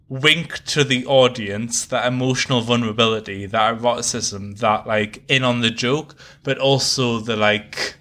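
Man, podcast co-host, bright forceful voice, mid pitch, effusive, wordy, analytical, casual. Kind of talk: casual music discussion.

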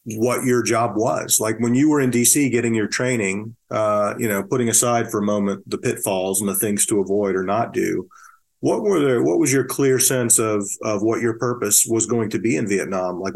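A man's voice: fairly low pitch, moderate pace, gravelly. An American man conducting a conversational oral history interview, asking careful questions as he goes.

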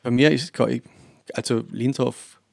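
A man with a Tyrolean Austrian accent talks casually as an interview guest. His voice sits at a middle pitch and is recorded very dry. He tells things as anecdotes, slipping into quoted speech as he goes. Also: fairly even intonation, measured pace, vocal fry, dry recording. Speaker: musician, podcast guest.

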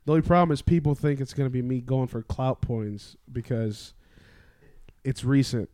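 A man chatting casually, telling stories in an animated, slangy way. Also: medium pitch, moderate pace, clean tone, no room sound. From born in the Philippines. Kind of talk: casual chat.

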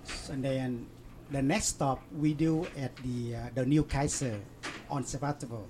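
A man in an interview, telling a story in halting, broken English with many fillers and restarts. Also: mid-range voice, steady tone, warm tone, a little hiss or hum, rough recording. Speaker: interview guest. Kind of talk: casual storytelling.

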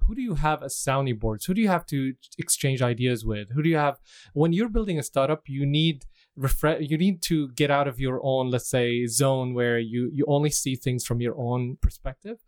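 A man's voice: medium pitch, normal speed. A middle-aged man from Syria, a guest talking casually about his work in an interview, easygoing and prone to restarts. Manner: easygoing, frequent restarts and laughs. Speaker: interview guest, incubator manager.

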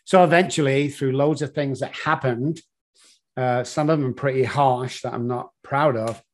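A middle-aged man with a Manchester accent, a guest telling his life story in an animated, rambling way with many fillers. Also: mid-range voice, moderate pace. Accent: Mancunian English. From Manchester, England. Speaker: guest, addiction consultant. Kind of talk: conversational storytelling.

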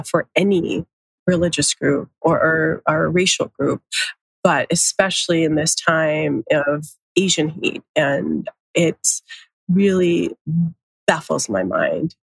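A woman in her thirties with a light Pakistani accent, chatting candidly and laughing often. Her voice sits a bit low, and the recording is dry and close. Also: creaky, fairly bright tone, husky, no room sound, poor audio. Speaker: podcast guest. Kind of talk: casual conversation.

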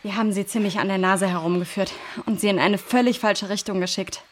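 A woman's voice: medium pitch, steady tone, fairly quick, slight background noise, natural voice. A woman playing a protective, knowledgeable female forest guide in a children's radio play, explaining things in a reassuring way. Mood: determined.

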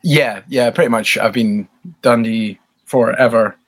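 A man in his thirties from Scotland with a Scottish accent, chatting relaxed and self-deprecating as a podcast guest. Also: medium pitch, measured pace, breathy edge. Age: 30s. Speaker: podcast guest, band bassist. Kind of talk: casual interview chat.

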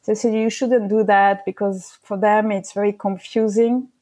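A woman's voice: mid-range voice, fairly even intonation, normal speed, mellow. A middle-aged woman from Switzerland, talking thoughtfully in an interview with frequent restarts. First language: French. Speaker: guest. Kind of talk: casual interview conversation.

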